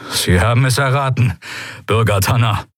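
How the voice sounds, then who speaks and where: A man voicing a stern, grim male fleet admiral who speaks in short, commanding phrases, with a husky voice. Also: mid-range voice, moderate pace, fairly bright tone, no echo, clean studio sound, lightly acted. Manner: clipped, commanding.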